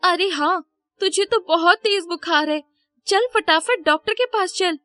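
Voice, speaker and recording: A woman acts out a poor young mother from a cartoon moral tale. Her voice sits very high, shifted up well above an everyday register. She speaks earnestly and emotionally, with a devoted, pleading edge. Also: unhurried, sharp tone, full character voice. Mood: anxious, caring. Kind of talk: Hindi moral story cartoon dialogue.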